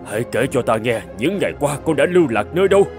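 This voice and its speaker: A man's voice: mid-range voice, very animated, fast, a little mellow, faint music behind. Old cartoon king, stern emotional dad, big swings in tone. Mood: relieved. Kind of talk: dubbed cartoon fairy-tale acting.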